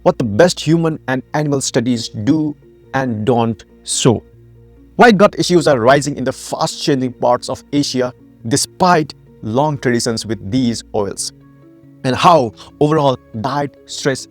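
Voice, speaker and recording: A man from Nepal, founder and host of a health channel, giving a scripted educational narration with emphatic rhetorical questions. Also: medium pitch.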